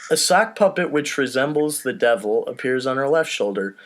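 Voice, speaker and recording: Male host-narrator for a comedy script read, mid-pitched, informal, self-deprecating asides. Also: expressive, moderate pace, very noisy.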